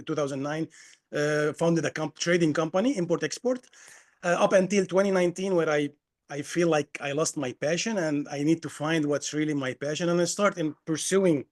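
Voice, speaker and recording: Fast-talking man from Lebanon, coaching webinar. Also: a little high. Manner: fast, restarts often. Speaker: executive coach, guest speaker. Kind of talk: webinar presentation.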